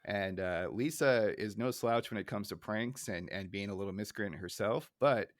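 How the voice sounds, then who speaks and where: A man's voice: lowish voice, normal speed, no echo, clean studio sound. Middle-aged man hosting a casual podcast. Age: 40s or older. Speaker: podcast host. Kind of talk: casual podcast episode recap.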